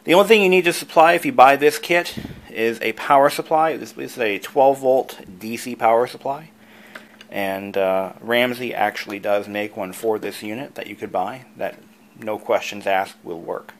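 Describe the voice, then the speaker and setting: A man's voice: medium pitch, moderate pace, slight background noise. A man narrating a casual how-to video as its host, explaining things informally with asides. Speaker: video creator and host, antique radio hobbyist.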